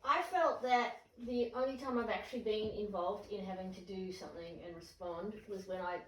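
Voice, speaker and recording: A woman taking part in a class, reflecting aloud on personal experience in a halting way. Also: medium pitch, slight background noise. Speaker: class participant. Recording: echoey room, distant mic.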